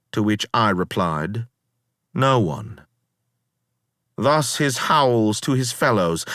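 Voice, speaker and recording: A man's voice: medium pitch, vocal fry, a bit bright, dry recording, studio quality, exaggerated voice. A man performing a weary, proud ancient Greek hero who recounts his sea voyages as theatrical dramatic poetry, in a grave, declamatory manner. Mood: grave storytelling, then intense, urgent. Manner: grave, declamatory, deliberate storytelling with dramatic swells.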